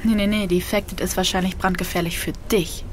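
An actress playing a bitter, defiant young daughter who demands answers, in a German audio drama. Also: female voice, lowish voice, fairly even intonation, fairly quick, slight background noise, close to an everyday voice. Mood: angry, defiant.

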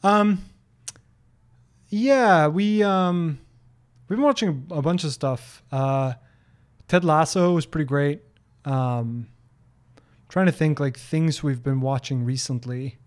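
Chatty Norwegian man, higher-pitched. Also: slow pace, long pauses. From Norway. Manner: chatty, many ums and restarts, reads questions aloud. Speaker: streamer answering viewer questions. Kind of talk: casual livestream Q&A. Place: home office. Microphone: desk broadcast mic close to face.

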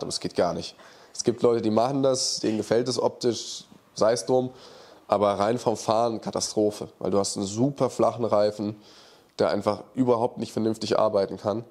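A man's voice: lowish voice, normal speed, a little mellow. A man in his thirties with a slight cold and nasal voice, bluntly answering viewer questions in a casual chat, recorded on a lapel mic in an indoor office. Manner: blunt, colloquial, frank verdicts. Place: indoor workshop office, seated in armchair.

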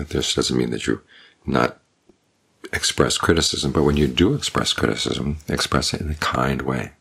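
An older man, a Buddhist monk, giving a calm, measured evening Dhamma talk with unhurried pauses. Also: very deep voice, vocal fry, slightly rough. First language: English.

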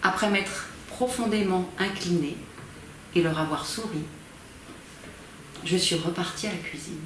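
Woman reading aloud in a very echoey room. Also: lowish voice. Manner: measured, expressive phrasing with pauses. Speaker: volunteer amateur reader. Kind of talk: theatrical reading of a novel. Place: small library corner, indoors. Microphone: camera at a distance. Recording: very echoey room, faint background noise.

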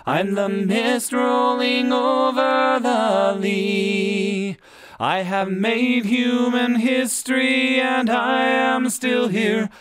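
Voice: mid-range voice, fairly even intonation, drawn-out words, a bit bright, faint music behind. A lead vocalist singing a melody without accompaniment. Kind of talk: unaccompanied singing.

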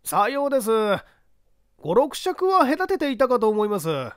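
Mystery audio story: respectful male witness played by a male reader, earnest formal answers, animated high pitch, dry recording. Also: moderate pace, no room sound, exaggerated voice. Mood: deferential, earnest. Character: deferential male construction supervisor testifying as witness. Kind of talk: dramatised mystery story reading.

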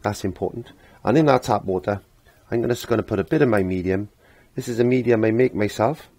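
A middle-aged man with a croaky voice giving a casual step-by-step painting lesson, rambling as if to himself and repeating his phrases. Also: on the low side, fairly quick, mellow, no room sound. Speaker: painting instructor. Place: indoor home art studio.

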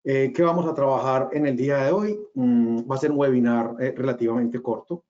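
A man with a Colombian accent gives a webinar talk from a small room over his webcam. His voice is mellow and warm, his delivery hesitant and full of fillers. The audio is a little dull, like a typical video call. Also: mid-range voice, fairly quick. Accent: Colombian regional. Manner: hesitant, many fillers and restarts. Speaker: webinar speaker, company cofounder. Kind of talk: webinar presentation.

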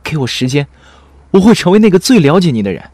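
An actor playing an earnest, apologetic young lover in an audio drama, husky and emotional in his pleading. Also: a little high, sing-song, quick pace, a little mellow, no room sound. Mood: pleading, eager. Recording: music behind the voice. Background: music.

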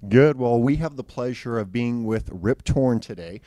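A man's voice: mid-range voice, normal speed, mellow. A middle-aged man interviewing a guest at a film festival, friendly and full of fillers, into a handheld mic in a lounge. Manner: friendly, filler-heavy questions. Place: hotel lounge, indoors.

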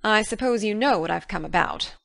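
Woman reader as a no-nonsense older guardian, stern, middle-pitched, brisk, dry sound, storybook dialogue. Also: animated, a bit bright, dry recording. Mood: troubled. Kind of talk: audiobook character dialogue.

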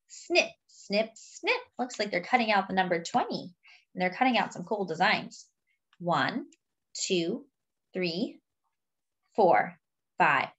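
A young adult woman reading a picture book aloud to small children, addressing them in a deliberate sing-song way. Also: medium pitch, a bit bright. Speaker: children's story reader.

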